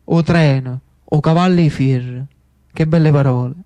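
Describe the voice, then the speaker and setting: High-voiced Neapolitan storyteller, a man. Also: warm tone. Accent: Neapolitan Italian. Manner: lyrical, incantatory repetition with dramatic pauses. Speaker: stage narrator, storyteller. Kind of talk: theatrical monologue storytelling.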